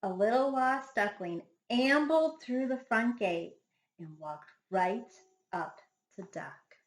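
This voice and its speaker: A woman reading a children's picture book aloud like a schoolteacher, with dramatic, deliberate phrasing. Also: a little high, lively intonation. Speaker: first grade teacher reading a picture book. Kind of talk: expressive children's storybook read-aloud. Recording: echoey room sound.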